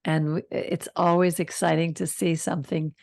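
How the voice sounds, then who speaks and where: An older woman hosting a Torah study over a video call, reading the passage slowly and calmly and stopping to comment. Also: deep voice, gravelly, no room sound, clean studio sound. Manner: slow, calm reading with side comments. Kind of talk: reading scripture and teaching.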